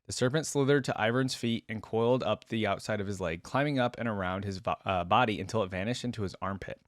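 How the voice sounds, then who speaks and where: A man's voice: on the low side, fast, dry recording, studio quality. A man hosting a reaction video, reading a story aloud with casual commentary.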